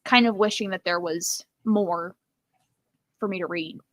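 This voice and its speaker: A woman's voice: fairly low pitch, unhurried, dry recording, clean studio sound. A woman co-hosting a casual live book chat in a wry, conversational way, dropping "like" often. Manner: wry, conversational, uses lots of "like". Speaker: co-host of a live book readalong.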